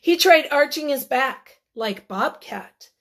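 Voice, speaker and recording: A woman reading a picture book aloud to children, expressively and deliberately, with dramatic emphasis. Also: sits high, animated. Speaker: children's read-aloud storyteller.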